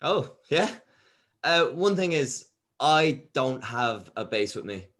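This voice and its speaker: A man playing a nervous, awkward young bass player at an audition, reading hesitantly from a script. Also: very animated, slow pace, a bit bright, full character voice. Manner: hesitant, tentative. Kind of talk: informal script table read.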